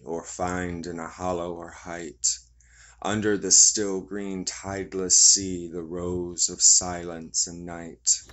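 A man reading poems aloud in a solemn, deliberate way. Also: low-pitched, a bit bright. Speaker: poetry reader. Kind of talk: slow poetry recitation.

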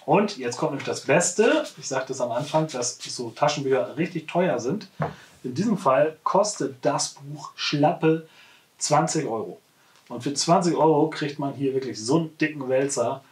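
A man's voice: a little high, lively intonation, normal speed. A man, hosting a casual show to camera in a conversational, rambling way with self-corrections. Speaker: host of a weekly shop video show. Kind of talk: casual product presentation to camera. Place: small, cluttered indoor room, seated at a desk. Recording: roomy indoor echo.

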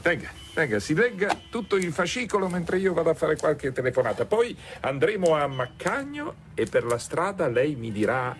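Animated male prosecutor. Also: on the high side, normal speed, few pauses, faint music behind. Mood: excited, eager. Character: male public prosecutor, eager and confiding. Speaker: actor in a radio drama. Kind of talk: radio drama acting.

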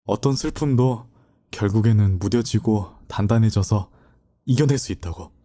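A man's voice: on the low side, moderate pace, dark tone, natural voice. A man playing an earnest, dutiful young student in an audio drama, speaking politely and a little hesitantly. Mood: sorrowful, restrained. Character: earnest, dutiful young male student, burdened but resolute. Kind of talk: Korean audio drama acting.

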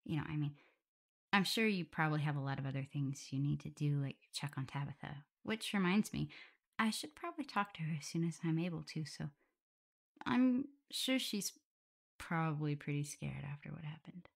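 A woman's voice: sits low, lively intonation, normal speed, full character voice. A woman playing a shy, caring, self-doubting young werewolf in an intimate ASMR roleplay, speaking hesitantly. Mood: gentle, curious. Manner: hesitant, stammering, soft, breathy whispers at times.

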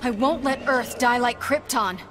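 A woman's voice: fairly high pitch, a little slow, over music, close to an everyday voice. A woman playing a plucky, defiant young superheroine from another planet, cheeky and confident in video game fight banter. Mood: determined.

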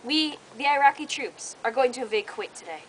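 A man's voice: extremely high pitch, lively intonation, brisk, a bit bright. A young man acting an earnest, declamatory soldier in an amateur history skit, recorded outdoors on a distant camera. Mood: solemn. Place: outdoors in suburban yards. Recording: noisy amateur outdoor camcorder sound.

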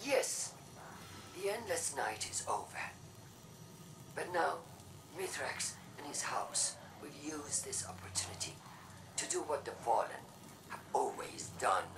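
A woman's voice: low-pitched, background noise, exaggerated voice. A woman voicing a proud, fearmongering robot faction leader in a solemn, theatrical game speech. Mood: bitter, ominous. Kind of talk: dramatic propaganda speech, game voice acting. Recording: echoey, distant broadcast with music.

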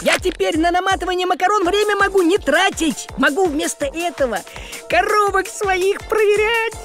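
A man voicing a bossy, thrifty, excitable cartoon cat who scolds and boasts. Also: very high voice, normal speed, soft background music. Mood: proud, excited. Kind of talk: animated series voice acting.

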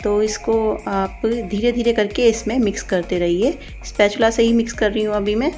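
A woman's voice: mid-range voice, fairly even intonation, normal speed, darkish tone, faint music behind. A woman giving informal step-by-step instructions as a cooking channel host. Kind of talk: recipe voice-over, step-by-step instruction.

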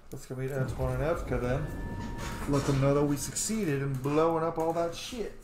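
A man's voice: fairly high pitch, normal speed, background noise. A man in his thirties giving casual, sweary gameplay commentary as he streams a video game. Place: indoor room. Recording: roomy echo, game music behind. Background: video game music.

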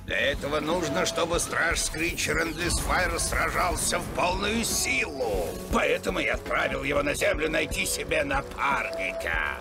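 Old guardian, gravelly, slow, theatrical, eccentric, children's cartoon dub, music underneath. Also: male voice, fairly high pitch, expressive. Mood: sly, explaining. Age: older. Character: eccentric old male guardian of an ancient city. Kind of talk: dubbed children's cartoon acting.

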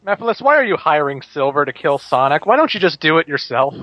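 Higher-voiced man, dull dry recording. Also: sing-song, fast, no room sound. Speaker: video game commentator. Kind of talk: casual amused banter.

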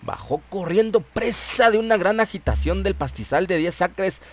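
A man's voice: sits high, animated, moderate pace, slight background noise. A man reading a scripted horror story as its narrator. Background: music behind the voice.

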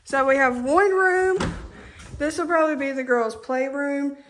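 A woman's voice: sits high, background noise. Bubbly young woman touring a home. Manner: bubbly, giggly, quick tour narration. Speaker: vlogger giving a house tour. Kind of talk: casual chat. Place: empty new house, indoors. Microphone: handheld phone camera.

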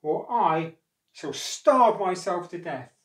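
A storyteller voicing a scheming, greedy female crocodile with a drawn-out, snappish delivery, for a folk tale. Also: medium pitch, lively intonation, voice pushed up. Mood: demanding. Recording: roomy echo, distant microphone.

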